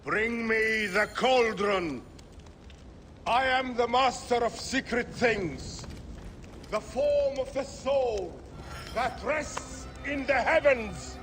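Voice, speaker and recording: A man's voice: very high voice, slow, a bit bright, faint music behind, full character voice. A man playing a solemn, commanding seer in a video game, intoning ritual words like an incantation. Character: mystical seer leading solemn ancient rituals. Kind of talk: dramatic video game acting.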